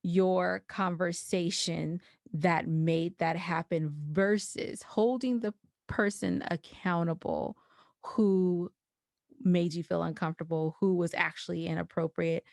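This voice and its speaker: A woman in a reflective podcast conversation, explaining her points deliberately in short phrases. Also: on the low side, fairly even intonation, creaky, a little mellow, no echo, studio quality. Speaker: podcast guest.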